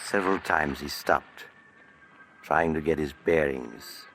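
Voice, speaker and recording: A man giving measured, ominous scripted narration for a 1940s radio drama. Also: rumbling low, fairly bright tone, soft background music, rough recording. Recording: old radio broadcast with faint hiss.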